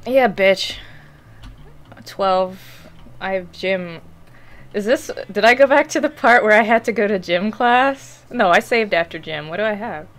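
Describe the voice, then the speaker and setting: A woman's voice: mid-range voice, unhurried, fairly bright tone. A young woman casually commentating a video game as she plays, chatty and easily distracted, swearing and laughing now and then, on a headset mic in a small room at home. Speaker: gaming streamer. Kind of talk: casual let's play commentary.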